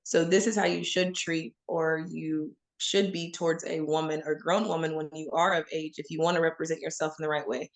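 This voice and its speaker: A woman's voice: low-pitched, flat delivery, fairly quick, hardly any pauses. A woman from Oklahoma giving candid, emphatic answers as an interview guest, speaking straight to the audience. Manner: frank and emphatic, addresses listeners as y'all.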